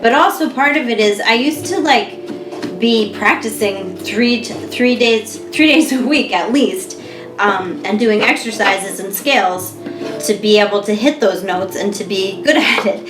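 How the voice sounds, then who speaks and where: An American woman in her thirties co-hosting a podcast, chatting casually with clear, careful diction and frequent laughter. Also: mid-range voice, measured pace. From United States. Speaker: podcast co-host. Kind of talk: casual chat. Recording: echoey room with music behind.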